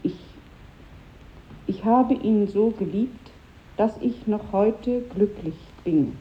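An actress playing a married Latin teacher, wistful yet strict and didactic, in a 1950s German radio drama. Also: female voice, mid-range voice, slow pace, slight background noise, lightly acted. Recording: echoey, phone-like vintage radio recording.

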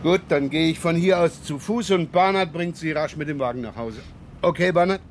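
An actor playing a wily, genial police detective in a German radio crime drama, teasing and probing in a folksy way. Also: male voice, fairly high pitch, moderate pace, a little hiss or hum, lightly acted. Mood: brisk, teasing. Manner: folksy, sly questioning with muttered interjections.

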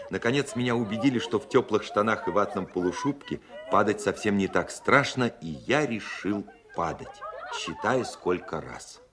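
A man playing a composer who recalls his childhood with gentle humour as narrator of a radio play. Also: on the low side, background noise, close to an everyday voice. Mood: amused. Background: music.

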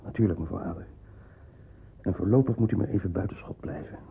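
Deep, creaky wartime policeman. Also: fast. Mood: businesslike. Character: calm, reassuring male policeman secretly helping people hide. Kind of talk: radio drama acting. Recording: noisy, phone-like old radio drama recording.

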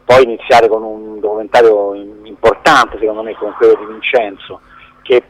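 A man answering as an interview guest in a halting, conversational way, repeating words and letting phrases trail off. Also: lowish voice, level tone, poor audio. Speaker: film producer, interview guest.

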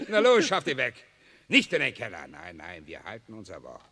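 Casting: grausamer, spöttischer SS-Offizier, heller rauer Ton, sehr bewegte Stimme, Hörspiel der 60er mit Nachhall. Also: male voice, on the high side, very animated, slow pace, bright, forceful tone, heavily acted. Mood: mocking. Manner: sneering, taunting, barking orders. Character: sadistic mocking male SS officer. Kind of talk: 1960s German radio play acting. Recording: reverberant old mono radio play.

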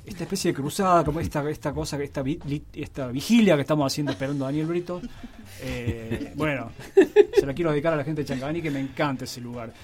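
A man with an Argentine accent giving a casual, halting, tongue-in-cheek radio column. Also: fairly high pitch, faint music behind.

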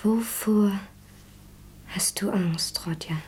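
An actress in a radio play as a gentle, timid young woman, speaking in a breathy, fearful hush. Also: medium pitch, slow pace. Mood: anxious, hushed. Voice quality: breathy, whispering. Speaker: actor in a radio drama. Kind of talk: German radio play acting.

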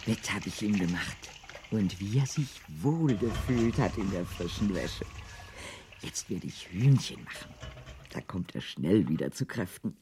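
An actress playing a harried, stubborn housewife in a radio drama, repetitive and fussy. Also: female voice, extremely low pitch, expressive, measured pace, background noise. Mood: muttering, busy. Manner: repetitive, fussy, muttering to herself, then sharply dismissive. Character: harried, stubborn housewife nursing a sick man. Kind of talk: German radio drama acting.

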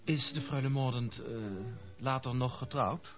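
An actor playing a polite, hesitant young gentleman who makes discreet inquiries in a radio play. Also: medium pitch, steady tone, normal speed, lightly acted. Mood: curious, polite. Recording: muffled old radio play recording, faint music behind.

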